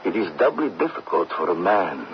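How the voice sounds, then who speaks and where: A man acting a kindly, grave middle-aged village doctor in an old-time radio drama, measured and consoling. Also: low voice, sing-song. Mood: solemn, consoling. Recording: dull, faintly noisy old radio broadcast.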